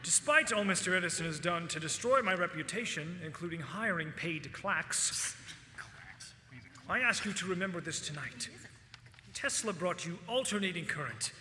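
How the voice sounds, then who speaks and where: An actor playing a proud, fervent visionary inventor, declaiming grandly and formally in a stage musical. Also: male voice, high-pitched, expressive, moderate pace, a little hiss or hum, exaggerated voice. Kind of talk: theatrical stage oration. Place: stage of a reverberant theatre. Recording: live theatre hall reverb, loud music.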